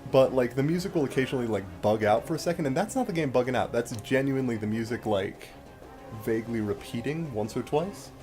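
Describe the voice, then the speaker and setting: A man's voice: medium pitch, lively intonation, fast. A man giving casual, explanatory gameplay commentary with a sardonic streak and some mild swearing. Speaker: Let's Play host and commentator. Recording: game music behind the voice. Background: video game music.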